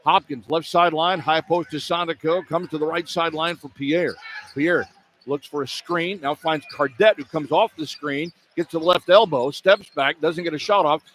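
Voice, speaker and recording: A man's voice: on the high side, steady tone, moderate pace, fairly bright tone. A man doing live radio play-by-play of a basketball game, describing the action in clipped running phrases. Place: courtside in a basketball arena. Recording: clear arena noise behind the voice. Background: arena ambience.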